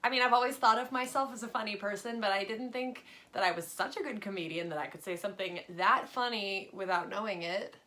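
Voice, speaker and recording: A woman playing a chatty, breezy young schoolgirl in an expressive dramatic reading of a children's book. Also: mid-range voice, brisk, few pauses, close to an everyday voice. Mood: breezy, reassuring. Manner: expressive, casual, joking. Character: Kelly, chatty, breezy young schoolgirl, George's best friend.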